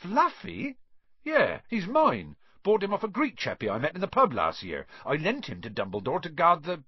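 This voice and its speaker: A man voicing a big, gruff older groundskeeper in an audiobook, rustic in speech, defensive and hot-tempered. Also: on the high side, wildly swooping pitch, moderate pace, no room sound, lo-fi sound, pitched above the performer's own voice, heavily acted. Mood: startled, fond. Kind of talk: audiobook character voice.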